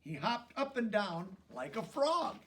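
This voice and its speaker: A man reading a children's story aloud as an expressive narrator. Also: sits high, a little slow, recorded from a distance. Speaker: storybook reader.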